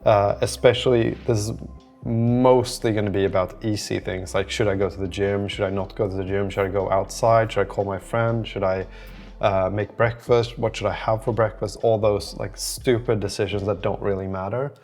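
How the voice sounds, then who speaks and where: A man with a fairly low voice talks casually to his vlog audience as he works. He rambles reflectively, circling his thoughts and letting some trail off. Soft music plays faintly behind him. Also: flat delivery, moderate pace. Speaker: app developer documenting his work on a vlog. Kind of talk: casual thinking-aloud vlog.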